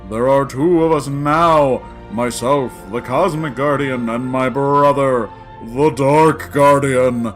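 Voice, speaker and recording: A man's voice: medium pitch, close to an everyday voice. An actor playing a solemn pan-dimensional guardian in a sci-fi audio drama, portentous and theatrical, drawing out his phrases. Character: solemn male cosmic guardian, grandiose pan-dimensional being.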